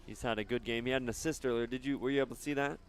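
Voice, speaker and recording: A man doing live soccer commentary outdoors, chatting casually and haltingly between plays. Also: medium pitch, fairly even intonation, smooth. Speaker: sports play-by-play announcer.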